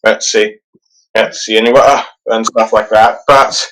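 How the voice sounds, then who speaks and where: A man hosting a podcast, talking through a story in a halting way and often pausing on "let's see". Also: lowish voice, steady tone, a bit bright, a little hiss or hum, poor audio. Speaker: podcast host. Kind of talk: casual podcast discussion.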